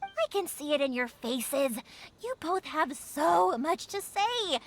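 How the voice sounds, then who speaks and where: A woman's voice: high voice, sing-song, takes it slowly, a bit bright, a little hiss or hum, exaggerated voice. A woman voicing a spirited, bold young woman who makes fireworks, in video game dialogue. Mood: determined. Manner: bold, bubbly, insistent.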